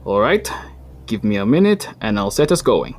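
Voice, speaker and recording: An actor playing a courteous, apologetic city coordinator who turns quietly menacing, in an audio drama. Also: male voice, on the low side, very animated, moderate pace, slight background noise. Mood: friendly. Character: polite but sinister city coordinator man. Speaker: coordinator of a recycling facility.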